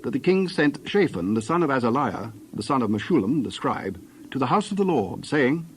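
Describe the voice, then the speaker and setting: A man's voice: medium pitch, very animated, moderate pace, slight background noise, low-quality recording. A man giving a scripted narration of scripture as the narrator of a dramatized reading.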